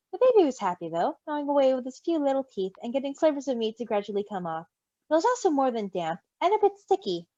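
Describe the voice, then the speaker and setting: A woman reading a story aloud in an animated style, with casual asides, on a slightly dull recording. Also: high-pitched, no echo. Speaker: story reader.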